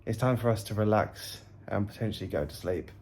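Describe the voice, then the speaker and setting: A man's voice: lowish voice, fairly quick, darkish tone. A man with a British accent, giving a casual recap as a travel vlogger.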